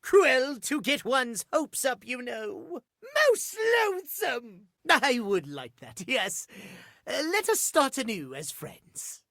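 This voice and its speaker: A man's voice: very high voice, huge pitch swings, slow, pushed and bright, no echo, clean studio sound, full character voice. A man voicing a prim, fussy and earnest living clock in a video game, with formal, theatrical old-fashioned diction. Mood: indignant, fussy. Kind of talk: animated video game character acting.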